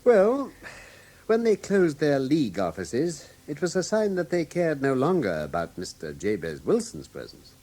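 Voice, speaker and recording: An actor in a British radio drama playing a coolly analytical gentleman detective with an English accent and a precise, assured manner. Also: a little high, huge pitch swings, moderate pace. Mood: precise, animated.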